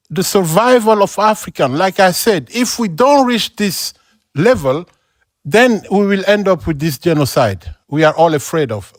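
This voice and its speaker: A man's voice: high-pitched, dry recording. A man making his point on a discussion panel, earnest and repetitive, with non-native English phrasing. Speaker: panel debater. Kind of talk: panel debate.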